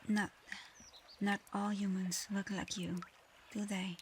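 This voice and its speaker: A woman voicing a proud but conflicted dragon girl in human form for an ASMR audio roleplay, soft-spoken, hesitant and earnest. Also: mid-range voice, flat delivery, slow pace, a little hiss or hum. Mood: flustered, shy.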